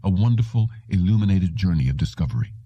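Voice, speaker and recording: Male narrator for literary fiction, low-pitched and extremely mellow, dry sound. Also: expressive, fast, husky, no room sound, dull audio. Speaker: audiobook narrator.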